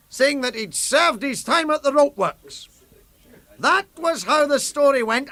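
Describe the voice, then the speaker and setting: An actor playing a bitter, defiant former stand-up comic making a rhetorical, theatrical speech to a hostile crowd in a radio drama. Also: male voice, very high voice, bright, forceful tone, dry recording, full character voice. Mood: wry storytelling. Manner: rhetorical, theatrical, with deliberate repetitions and pauses.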